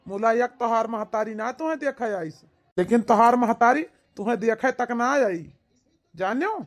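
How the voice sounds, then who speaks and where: A man's voice: very high voice, moderate pace. A man playing an anxious, pleading village husband in an amateur cartoon, speaking with an Awadhi accent in a plaintive way. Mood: consoling. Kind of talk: amateur comedy cartoon dialogue in rural dialect.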